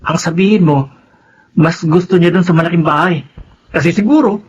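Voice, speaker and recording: An actor playing a sullen, resentful schoolboy son, brusque and insistent, with a Filipino accent in a radio drama. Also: male voice, on the high side, normal speed, dull audio, a little hiss or hum, close to an everyday voice.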